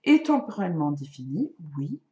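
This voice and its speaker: A woman's voice: lowish voice, big pitch swings, moderate pace, dark tone, roomy sound. A middle-aged woman whose first language is not French, chatting casually to camera as a vlogger, self-mocking and full of hesitations. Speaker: knitting and crochet vlogger.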